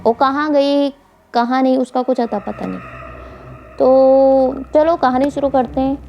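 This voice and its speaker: A woman's voice: sits high, fairly even intonation, a little slow, vocal fry, muffled recording. A woman narrating a mystery story for an online channel, informally addressing her listeners as host. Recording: faint background noise.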